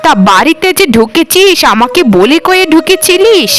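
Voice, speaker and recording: A woman's voice: very high voice, very animated, moderate pace, soft background music. A woman voicing a greedy, bullying middle-aged aunt in a cartoon, sarcastic and demanding. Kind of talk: cartoon voice acting.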